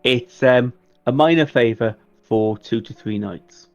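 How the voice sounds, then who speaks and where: A man's voice: medium pitch, measured pace, lo-fi sound, soft background music. A man playing a tabletop roleplaying game, talking casually with the other players.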